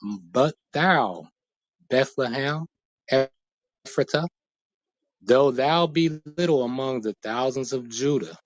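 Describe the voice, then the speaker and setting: A man reading scripture slowly and pressing his debate opponent with repeated questions. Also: medium pitch, breathy edge, no room sound, rough recording. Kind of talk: heated debate.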